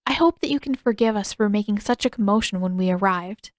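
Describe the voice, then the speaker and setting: A woman's voice: medium pitch, brisk, no echo, studio quality, lightly acted. A woman voicing an earnest, determined teenage girl in a story, speaking politely and carefully, with a pleading manner.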